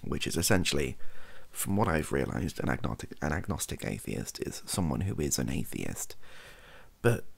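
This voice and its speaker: A young man with an extremely low, heavily creaky voice talks softly, as in an ASMR video. His intonation wanders very widely as he rambles in a hesitant, self-deprecating way. He sits close to the microphone. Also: very animated, moderate pace. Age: young adult. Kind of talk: softly spoken ASMR chat. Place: small bedroom at a desk.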